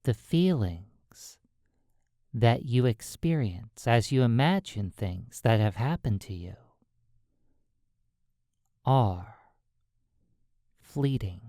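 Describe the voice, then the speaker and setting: Slow, measured meditation guide. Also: medium pitch, animated, dark tone, no echo, studio quality. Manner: measured, deliberate short phrases with long pauses.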